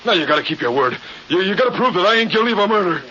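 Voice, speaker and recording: A high, whining Western coward on a noisy old radio show. He speaks in a rustic American Western drawl and stammers pleadingly, like a cornered schemer. The recording is dull and worn. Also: sing-song, very fast, sharp tone, heavily acted. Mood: nervous, pleading. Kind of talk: 1940s radio acting.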